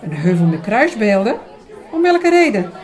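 A woman playing a sharp-minded, inquisitive companion in a radio drama, questioning and precise. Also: medium pitch, sing-song, moderate pace, lightly acted. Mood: sceptical, curious. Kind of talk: Dutch radio drama dialogue. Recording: busy chatter behind the voice. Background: busy restaurant crowd.

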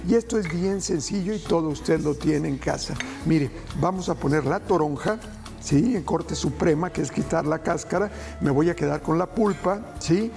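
A man's voice: on the high side, normal speed, gravelly, soft background music. A middle-aged man hosting a TV cooking show, giving folksy advice to viewers in a studio kitchen. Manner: folksy, addresses viewers as family, gentle advice. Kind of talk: cooking demonstration.

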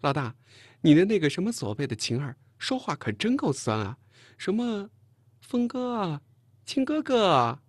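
一位男演播者在有声书里演一个爱捉弄人、又爱发号施令的年轻女同事，时而撒娇，时而数落。. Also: male voice, high-pitched, big pitch swings, no room sound, pitched above the performer's own voice. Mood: teasing, coaxing. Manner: mocking, quick to pout and scold. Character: brash teasing young woman colleague, playful and bossy. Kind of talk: audiobook character dialogue.

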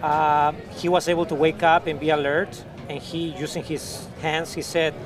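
A man's voice: fairly high pitch, normal speed. A middle-aged man, a news anchor, speaking earnestly and from the heart in a backstage interview. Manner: earnest, heartfelt, steady storytelling. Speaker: news anchor, award winner. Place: backstage press area at an awards show. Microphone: handheld mic. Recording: busy crowd noise behind.

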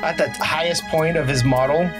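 A let's-play co-host chatting casually and joking, often laughing, over loud game music. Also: medium pitch, fairly even intonation, normal speed. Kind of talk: casual gaming chat.

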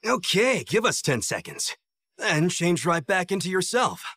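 A man's voice: sits high, very animated, a little slow, bright, forceful tone, breathy edge, no echo, studio quality, heavily acted. An American man playing a cocky, playful young sorcerer teacher in an English anime dub, with a breezy manner.

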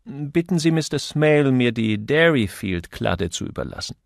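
A man voicing a brisk, formal office manager in an audiobook, polite and instructing. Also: medium pitch, sing-song, gravelly, no room sound, studio quality, voice pushed up. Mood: businesslike.

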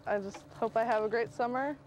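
A woman's voice: fairly high pitch, steady tone, fairly quick, darkish tone, a little hiss or hum, rough recording. A young woman, an apprentice carver, talking casually outdoors.

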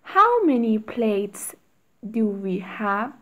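A woman reading short English phrases aloud one at a time, with long pauses for learners to repeat. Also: medium pitch, sing-song, pushed and bright, muffled recording. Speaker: English teacher. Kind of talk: slow read-aloud drill for learners.

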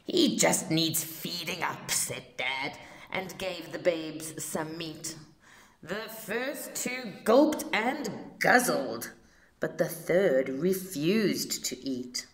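A woman reading a children's story aloud with expressive, dramatic emphasis and deliberate phrasing. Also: sits low. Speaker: storybook reader. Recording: roomy, echoing home recording.

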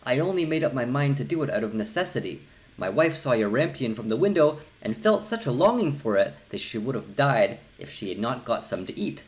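A man's voice: medium pitch, big pitch swings, a little fast, natural voice. A man voicing a frightened husband in a storybook, pleading for mercy. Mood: pleading, fearful.

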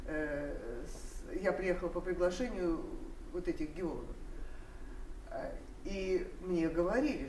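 A woman's voice: fairly low pitch, darkish tone. An older woman telling a personal family story at a seminar, rambling with many hesitations and restarts. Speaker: seminar participant sharing memories. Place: seminar room, standing among seated audience. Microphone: far camera. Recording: echoey room, distant mic, noise.

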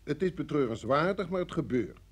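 An actor playing a senior security chief in an old radio drama, brusque and formal, with a husky voice and an authoritative manner. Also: male voice, fairly high pitch, expressive. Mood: firm, persuasive. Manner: authoritative, formal, measured phrasing. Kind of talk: vintage Dutch radio drama acting.

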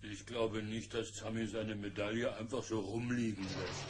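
A man's voice: fairly low pitch, level tone, normal speed, heavy background noise, natural voice. A man playing an earnest, reasoning gummi bear in a German children's audio play. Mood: thoughtful.

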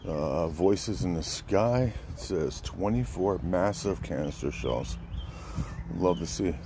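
Very deep-voiced man vlogging outdoors in wind. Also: lively intonation, slow. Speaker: fireworks channel host. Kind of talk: casual product showcase vlog. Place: outdoors in a windy spot by a pickup truck bed. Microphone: handheld camera setup with an added mic. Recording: windy outdoor noise.

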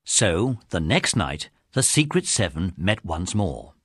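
A man's voice: sits low, very animated, slow, fairly bright tone, slightly rough, dry recording, studio quality, full character voice. A man narrating a children's adventure story in a scripted storybook style. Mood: storytelling.

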